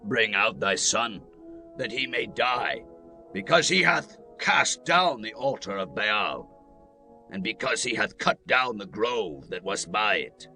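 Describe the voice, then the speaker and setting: A man's voice: on the low side, lively intonation, takes it slowly, bright, forceful tone, soft background music, exaggerated voice. An actor playing a hostile townsman, accusing and demanding, in a dramatized scripture reading. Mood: angry, accusing.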